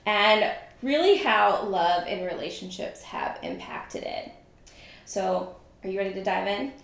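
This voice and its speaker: A woman hosting a podcast interview, speaking in an effusive, halting way with fillers like "you know". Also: mid-range voice, sing-song, a bit bright. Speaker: podcast host. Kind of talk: casual interview chat. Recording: very echoey room.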